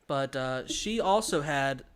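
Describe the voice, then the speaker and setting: A man's voice: fairly high pitch, expressive, slow, a bit bright, clear, resonant tone. A young man co-hosting a podcast, bantering casually, laughing often and swearing as he rambles. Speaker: podcast co-host.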